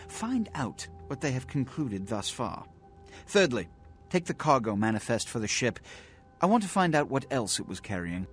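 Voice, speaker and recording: A man's voice: medium pitch, close to an everyday voice. An actor playing a haughty male vampire prince in a video game, with a pompous, condescending, elaborately worded delivery. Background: music.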